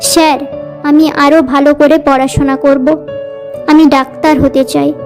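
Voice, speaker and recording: A studious teenage village girl in a cartoon, acted by a woman. Her high voice stays even as she speaks earnestly and caringly, with faint music underneath. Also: a little slow. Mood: earnest, determined. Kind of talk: scripted cartoon dialogue.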